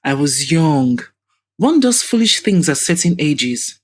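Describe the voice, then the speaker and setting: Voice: mid-range voice, big pitch swings, a little slow, a little mellow, slightly rough. A woman voicing a sinister, wealthy older man with a haughty, formal and evasive manner in an audio drama. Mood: smug.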